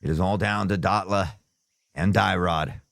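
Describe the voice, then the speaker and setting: Man, esports play-by-play caster, slightly sore throat, excitable shouting, faint hiss behind. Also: sits low, unhurried, vocal fry. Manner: excitable, bursts into loud shouts on big plays.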